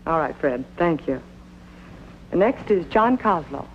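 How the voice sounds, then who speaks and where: A muffled old TV soundtrack carries a woman schoolteacher. She is formal and courteous, addressing pupils in measured sentences in the manner of fifties drama. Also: sits low, very animated, slight background noise. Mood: formal, patient. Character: polite, formal schoolteacher addressing her class. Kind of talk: 1950s American television drama acting. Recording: dull lo-fi 1950s television soundtrack.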